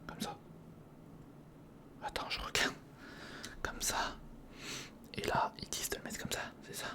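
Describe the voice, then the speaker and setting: A man giving whispered ASMR commentary on a hands-on build, murmuring to himself as he thinks and chatting informally to the viewer. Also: unhurried. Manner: murmurs to himself while thinking through steps; informal, chummy address to the viewer. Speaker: ASMR video host.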